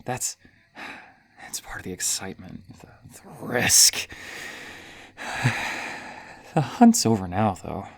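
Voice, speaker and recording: A man playing a gleeful, hesitant serial killer villain toying with prey in a horror roleplay, stammering and pausing dramatically between breathy sighs. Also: on the low side. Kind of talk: ASMR horror roleplay monologue.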